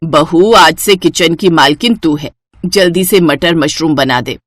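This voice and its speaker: Bossy older mother-in-law for a family cartoon played by a female narrator, commanding, impatient, lower register. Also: unhurried, no room sound, studio quality, soft background music.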